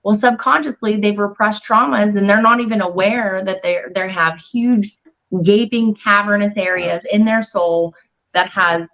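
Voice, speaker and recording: A woman's voice: medium pitch, steady tone, a little slow, fairly bright tone. Articulate woman explaining, dull audio. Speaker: podcast guest or co-host. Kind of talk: casual podcast talk.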